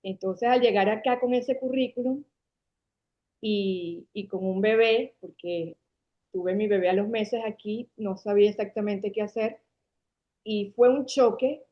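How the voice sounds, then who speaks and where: A woman's voice: medium pitch, fairly even intonation, unhurried. Woman in her forties with a Caracas Venezuelan accent, a talkative guest with a mid-range voice, on a dull lo-fi recording. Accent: Venezuelan Spanish from Caracas. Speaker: guest, marketing agency founder. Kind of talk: interview storytelling. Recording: dull lo-fi sound.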